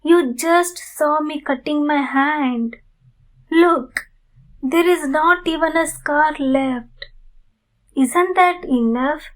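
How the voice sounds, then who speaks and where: Woman voicing a slow, high-voiced polite vampire lady. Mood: earnest, explaining. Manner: courteous, formal, earnest, halting phrasing. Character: polite, pleading female vampire in need of blood. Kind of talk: ASMR roleplay addressed to the listener.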